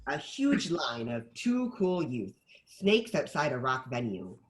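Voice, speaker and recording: A woman narrates a screenplay's action lines. Her voice is low, and she moves through a wide, lively range of pitch as she reads. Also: takes it slowly. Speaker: stage-direction narrator.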